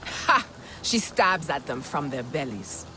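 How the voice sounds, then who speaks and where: Stern alien clan leader. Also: female voice, deep voice, big pitch swings, slow, sharp tone, a little hiss or hum, heavily acted, rough recording. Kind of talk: video game voice acting.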